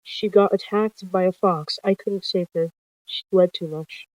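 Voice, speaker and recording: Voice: mid-range voice, steady tone, measured pace, dry recording, natural voice, rough recording. Narrator voicing an evasive villainous medicine cat. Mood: subdued. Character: evil medicine cat, evasive, quietly reporting a death.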